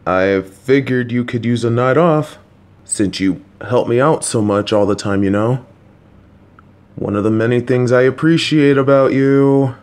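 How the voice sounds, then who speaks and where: A man voicing a kind, worried, clueless father who talks to his child in a gentle, patient, anxious, rambling way. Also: mid-range voice, measured pace, lightly acted. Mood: warm. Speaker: voice actor. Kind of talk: audio roleplay for a listener.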